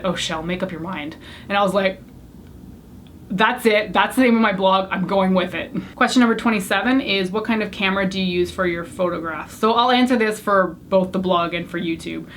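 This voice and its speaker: A woman's voice: mid-range voice, steady tone, brisk, fairly bright tone, slight background noise. A young woman whose first language was French, chatting candidly to the camera as she answers a tag of questions. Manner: chatty, candid, self-deprecating. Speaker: beauty YouTuber. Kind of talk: casual Q&A tag video.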